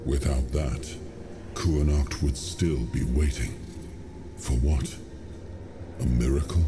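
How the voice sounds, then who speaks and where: A slow, grave legendary king. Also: very deep voice, noisy background. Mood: solemn. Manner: slow, solemn, brooding. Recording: game audio over loud music.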